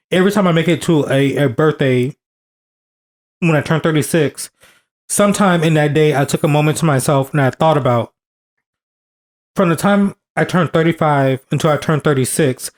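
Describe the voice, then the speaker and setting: A man in his thirties with a somewhat high voice talks through a podcast topic in a casual, earnest way. He circles back on his phrases, stacking repetitions for emphasis, and often restarts mid-sentence. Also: moderate pace. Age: 30s. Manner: earnest, repeats himself for emphasis. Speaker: podcast co-host.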